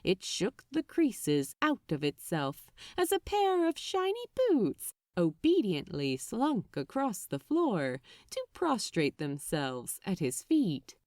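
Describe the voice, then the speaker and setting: Female audiobook-style narrator, slow and deliberate, hugely varied intonation, expressive scripted storytelling, dry close sound. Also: medium pitch, no echo.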